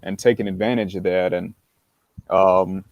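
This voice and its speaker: A man who is a touring musician, talking in a halting way with many restarts. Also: low voice, fairly even intonation, a little mellow, no room sound. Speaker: musician guest. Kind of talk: casual chat. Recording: dry muffled call audio.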